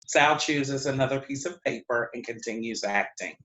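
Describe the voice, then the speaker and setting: A man's voice: medium pitch, normal speed, pushed and bright, lightly acted. A man as a neutral narrator, reading out stage directions at a play reading. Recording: echoey room.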